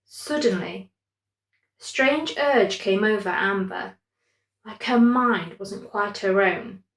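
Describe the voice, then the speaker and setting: A woman's voice: mid-range voice, takes it slowly, a bit bright, reverberant. A woman reading a scripted bedtime story as its narrator.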